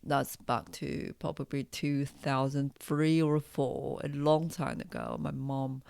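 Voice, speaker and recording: Female podcast host, Taiwanese accent. Slow, thoughtful, pausing delivery in a close, dry sound. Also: very deep voice, expressive, vocal fry, darkish tone, no echo. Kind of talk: unscripted personal podcast monologue.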